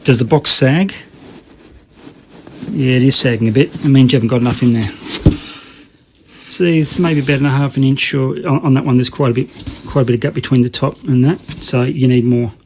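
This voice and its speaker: A man's voice: medium pitch, fairly even intonation, moderate pace, pauses often, a little hiss or hum. A man presenting a casual how-to demonstration in a rambling, colloquial way, often repeating himself for emphasis. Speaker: hobbyist video presenter.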